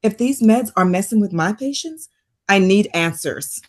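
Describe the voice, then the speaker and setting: A woman acting a caring but weary therapist in a naturalistic drama table read, speaking in a measured, firm, earnest way. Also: medium pitch, lively intonation, lightly acted. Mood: resolute.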